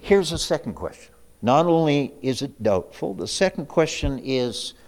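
A middle-aged pastor preaching a sermon, repeating his key questions and moving between loud emphasis and quiet asides. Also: fairly high pitch, expressive, normal speed.

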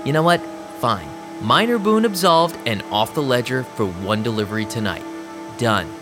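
A man's voice: medium pitch, expressive, normal speed, over music, close to an everyday voice. An actor playing an anxious, wisecracking vampire DJ, telling his story in casual slang for an audio drama. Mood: nervously agreeable. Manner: casual, slangy, self-doubting. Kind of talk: first-person audio drama narration and dialogue.

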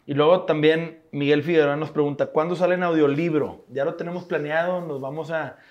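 A man's voice: a little high, fairly even intonation, a little fast, highs cut off. A man with a Mexican Spanish accent, co-hosting a podcast in a casual chat with friends, telling stories full of swearing and laughter. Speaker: podcast co-host. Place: studio bar set.

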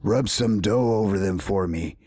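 A man's voice: fairly low pitch, measured pace, darkish tone, husky, dry recording, clean studio sound. A man voicing a menacing, demanding big bad wolf in a storybook reading. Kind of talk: storybook character voice.

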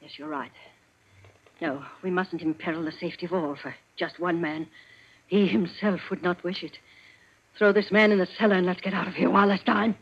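A fierce, husky secret-society leader. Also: female voice, lowish voice, steady tone, brisk. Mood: urgent. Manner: demanding, intense. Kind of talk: 1940s radio acting. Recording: dull lo-fi vintage radio recording.

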